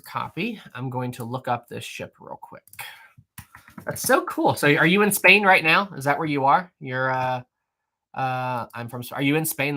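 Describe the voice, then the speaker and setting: Rambling, animated male live-streamer. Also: mid-range voice. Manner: rambling, animated, laughs often, many fillers and restarts. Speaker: history YouTuber hosting a live stream. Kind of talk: casual live-stream chat reading super chats.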